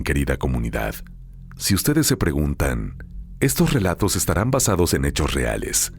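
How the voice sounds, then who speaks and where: A man hosting and narrating scary stories from a script, measured and deliberate, with dramatic pauses. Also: very deep voice, gravelly, darkish tone. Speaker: host and narrator of listener-submitted scary stories. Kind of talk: slow scripted horror narration.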